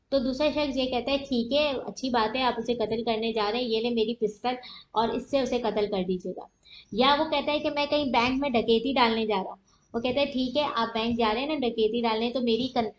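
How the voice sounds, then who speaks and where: A woman with a Pakistani accent giving an explanatory law lecture, didactic and often asking questions that she answers herself. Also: fairly high pitch. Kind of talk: explanatory lecture with everyday examples. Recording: echoey room, dull muffled audio.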